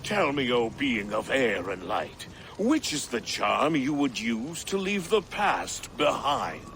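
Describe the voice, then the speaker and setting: Eccentric old herbalist for a fantasy game; mystical, credulous, archaic phrasing, lilting and unhurried, over noisy ambience. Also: male voice, mid-range voice, sing-song, a bit bright, exaggerated voice. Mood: delighted, friendly. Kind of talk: video game cutscene acting.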